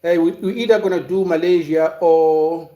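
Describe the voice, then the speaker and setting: A man from South Africa talks casually on a livestream, often pausing and restarting mid-sentence. His voice sits a little high for a man. The room gives it a noticeable echo. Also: steady tone, warm tone. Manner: hesitant, many fillers and restarts. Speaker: livestream host. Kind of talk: casual livestream commentary reading chat. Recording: roomy echo.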